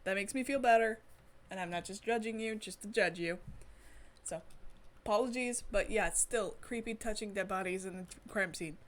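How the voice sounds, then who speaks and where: A woman streamer giving casual, expressive commentary as she plays and reads a visual novel, reacting with exclamations. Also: medium pitch, normal speed. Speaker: streamer doing a playthrough. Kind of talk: casual game commentary, reading visual novel text aloud. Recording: music in the background. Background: game music.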